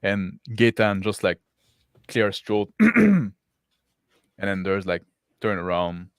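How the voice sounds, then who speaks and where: A man improvising as a zealous, plain-spoken villager in a tabletop roleplaying game, informal and halting, often saying "like". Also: lowish voice, very animated, clean tone, dry recording, clean studio sound. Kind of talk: casual improvised roleplay and narration.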